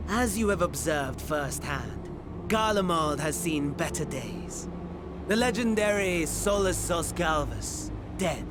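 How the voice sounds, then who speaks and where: A man's voice: a little high, lively intonation, takes it slowly, background noise. An actor playing a smug, scheming imperial servant in a video game, grandiose and mock-reverent as he savors each phrase. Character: theatrical, smug villain and scheming male servant of empire. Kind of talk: dramatic video game cutscene acting. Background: background music.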